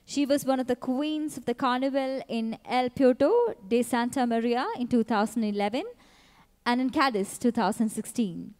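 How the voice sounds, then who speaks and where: A woman announcer reading scripted introductions of pageant contestants in a measured, even way. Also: on the high side. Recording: long hall reverb.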